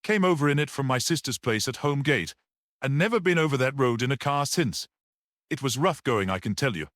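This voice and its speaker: A man's voice: medium pitch, expressive, fairly quick, fairly bright tone, no echo, studio quality, voice pushed up, close to an everyday voice. Guy doing a little old man from a tale, amiable but stubborn, raised lilting voice. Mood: amiable obstinacy. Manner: thoughtful, mildly stubborn.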